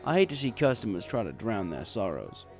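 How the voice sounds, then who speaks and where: A man's voice: lowish voice, very animated, brisk. A man reading a story aloud in the first person as a bartender narrator. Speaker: audiobook reader. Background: music.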